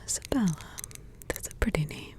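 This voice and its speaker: Woman whispering as a teasing old witch mother. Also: lightly acted. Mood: teasing, gossipy. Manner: slow, intimate whispering with teasing chuckles. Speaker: ASMR roleplay host. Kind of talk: whispered ASMR roleplay.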